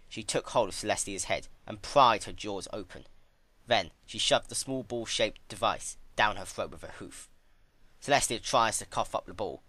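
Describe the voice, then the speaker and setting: Guy narrating a dark story, very dramatic, swoops around, dry close sound. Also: fairly low pitch, dry recording. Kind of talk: dramatic fan fiction reading.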